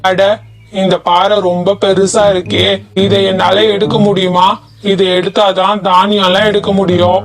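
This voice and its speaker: A man's voice: sits high, little pitch movement, moderate pace, crowd noise. A man voicing a vain, self-important young male sparrow in a children's cartoon story. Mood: straining, doubtful.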